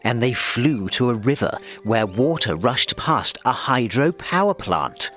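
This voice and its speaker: Slow, animated male storybook narrator. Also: mid-range voice. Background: music.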